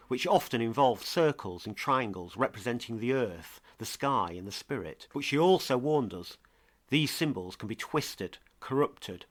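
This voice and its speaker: A man playing a grave investigative podcast presenter, narrating a scripted mystery in a measured, dramatic way. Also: mid-range voice, close to an everyday voice. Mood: grave, intrigued.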